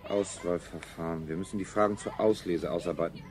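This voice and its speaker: An actor as a male technocrat scientist in a science fiction radio play, low-pitched and clinical. He states things with pompous, deliberate precision and cold superiority. There is faint noise on the old recording. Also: fairly even intonation, a crowd in the background, lightly acted. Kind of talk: 1970s German radio drama acting.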